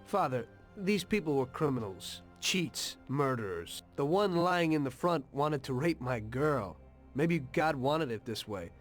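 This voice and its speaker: An actor playing a weary, conflicted mobster with a conscience in a video game drama, brooding and blunt. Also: male voice, medium pitch, lively intonation, a little slow, soft background music, lightly acted. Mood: resigned, defensive.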